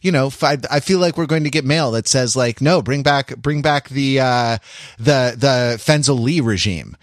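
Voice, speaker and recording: A man hosting a podcast in casual chat, rambling and haltingly repeating his phrases as he goes. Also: mid-range voice, dry recording, clean studio sound. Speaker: podcast host.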